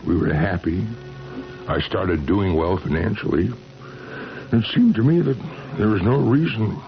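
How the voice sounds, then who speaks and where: An actor playing an earnest, devoted husband in 1970s radio drama, hesitant and pleading. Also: male voice, sits low, expressive, unhurried, soft background music. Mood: wistful. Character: earnest devoted husband telling his strange story. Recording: dull, noisy 1970s radio recording.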